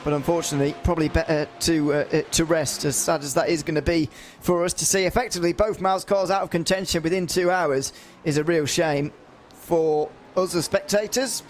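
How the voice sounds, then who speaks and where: Male color commentator, a touch high, halting analysis over a noisy mix. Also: steady tone. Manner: hesitant, many fillers and repeats.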